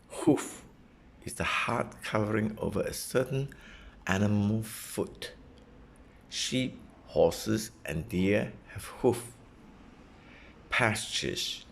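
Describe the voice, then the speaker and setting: A man reading a children's nonfiction book aloud as a parent would, in a deliberate way suited to a young child. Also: low voice, creaky, slight background noise. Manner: deliberate, repeating and simplifying phrases for a child.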